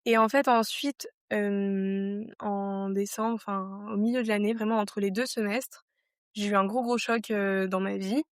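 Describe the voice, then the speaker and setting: A woman's voice: medium pitch, fairly even intonation, measured pace, dry recording. Young French woman reflecting, dry sound. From France. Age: 20s. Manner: reflective, with many fillers and restarts. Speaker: podcast guest. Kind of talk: casual podcast interview.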